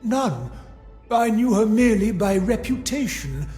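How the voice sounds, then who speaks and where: A man's voice: high voice, very animated, measured pace, a little mellow, reverberant. A man voicing a blunt, pious older bishop in a video game, haughty and curt in manner. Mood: guarded, indignant. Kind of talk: scripted video game dialogue acting. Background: music.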